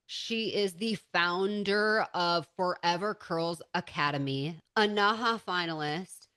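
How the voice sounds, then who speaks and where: A woman's voice: on the low side, a bit bright, clean tone, no room sound. A woman hosting a podcast interview, enthusiastic as she introduces and questions her guest. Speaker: podcast host.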